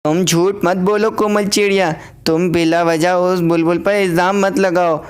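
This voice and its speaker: A woman's voice: fairly low pitch, steady tone, normal speed, clean tone, close to an everyday voice. A protective mother crow from a children's cartoon, acted by a woman. She is stern and accusing, with a clean, resonant voice. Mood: angry. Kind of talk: children's cartoon voice acting in Hindi.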